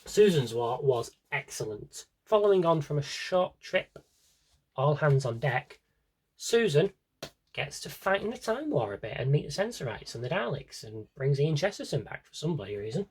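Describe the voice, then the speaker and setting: A man with a slightly husky voice chats casually as a fan showing off a collection, rambling with wry, dry asides. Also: fairly high pitch, big pitch swings, normal speed, a little hiss or hum, poor audio. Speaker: audio drama collector and fan. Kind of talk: casual rambling shelf-tour vlog chat.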